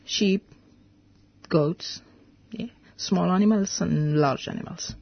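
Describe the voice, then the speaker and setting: Low, slow-spoken Sudanese woman. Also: vocal fry, no echo, dull audio. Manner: halting, searching for words. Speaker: interview guest, veterinarian. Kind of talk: radio interview.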